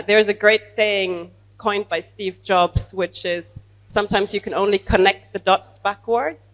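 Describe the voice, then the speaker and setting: Woman, middle-aged, loud voice, animated, chatty, panel introduction, handheld mic. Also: fairly low pitch, low-quality recording. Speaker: panelist, venture capital investor. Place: event stage with audience. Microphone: handheld microphone.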